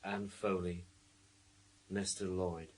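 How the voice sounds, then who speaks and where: Plodding radio-drama sergeant, very low pitch, slow deliberate delivery, wry, faint hiss behind. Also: male voice, level tone. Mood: methodical, flat. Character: plodding, dryly sardonic male police sergeant. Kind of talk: radio thriller acting.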